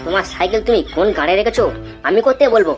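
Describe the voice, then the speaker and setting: A man puts on a sharp-tongued middle-aged housewife for a comic cartoon. The voice is high, irritable and sarcastic. Music is clearly heard behind it. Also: expressive, rapid-fire. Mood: irritated. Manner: sarcastic, nagging.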